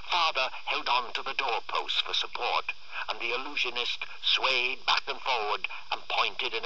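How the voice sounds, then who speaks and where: A man reading a literary short story aloud in a theatrical, expressive way. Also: fairly low pitch, husky, no echo, muffled recording. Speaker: story reader.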